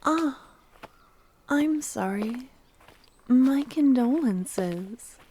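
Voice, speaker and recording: Woman acting a very slow, soft, obsessive yandere. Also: high voice, lively intonation, slight background noise, full character voice, poor audio. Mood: soft, consoling. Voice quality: soft, breathy, slipping into whispers. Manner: slow, tender, intimate coaxing. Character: loving, obsessive yandere cultist woman kidnapper, sweetly menacing. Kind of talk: soft-spoken ASMR roleplay with whispering. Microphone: close ASMR microphone.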